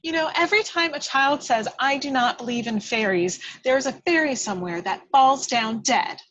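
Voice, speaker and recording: A woman's voice: high voice, moderate pace, fairly bright tone, pitched above the performer's own voice, poor audio. A woman playing a tiny, feisty, bossy fairy with a scornful, theatrical delivery in a script read-through. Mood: sassy. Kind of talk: hobby table read acting.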